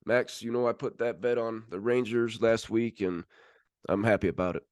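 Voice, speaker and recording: Male sports podcast host, lowish voice, casual commentary moving through standings, dry close recording. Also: steady tone, moderate pace, a little mellow, dry recording.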